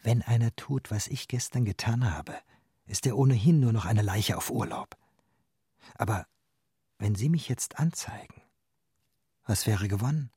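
A man's voice: mid-range voice, unhurried, long pauses, warm tone, husky, dry recording, studio quality. A male actor playing a grave, resolute doctor in a German radio play. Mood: guarded, cold.

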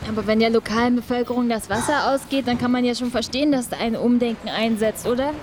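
Field reporter, female actor, articulate and questioning, lightly high pitch, scripted radio reportage, noisy surroundings. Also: quick pace, lightly acted. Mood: probing. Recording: clear ambient noise of an animal barn.

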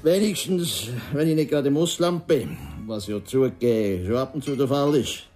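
An actor playing a genial, well-travelled café regular in a Swiss German radio drama, with a jovial, genteel and slightly flowery manner. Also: male voice, medium pitch, lively intonation, normal speed, a little mellow, soft background music, close to an everyday voice. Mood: jovial, playful.

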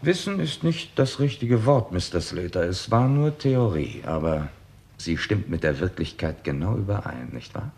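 Give the impression of a composed male detective in a sixties radio crime drama. His voice is fairly low, his formal and exact. He lays out a case methodically, pressing with rhetorical questions. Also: expressive, moderate pace, a little mellow. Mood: composed, wry. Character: confident male police detective laying out a case, probing and composed. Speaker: actor in a crime radio play. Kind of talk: 1960s German radio drama acting.

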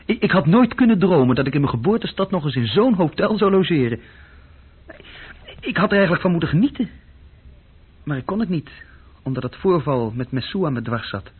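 A man's voice: on the high side, very animated, fairly quick, long pauses, slightly rough, full character voice. An actor playing an uneasy, insecure young junior clerk who tells his story hesitantly and intimately in a radio play. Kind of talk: radio play acting, intimate first-person narration. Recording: dull, muffled old radio recording.

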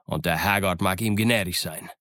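A man's voice: low-pitched, normal speed, fairly bright tone, no echo, clean studio sound. Man playing a stern, commanding ship's captain. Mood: curt, defiant. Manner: clipped, commanding, curt. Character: stern, brusque male ship's captain giving orders.

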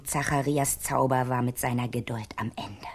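A woman's voice: very deep voice, steady tone, normal speed. A woman narrating a radio play as a wry storyteller, reading from a script.

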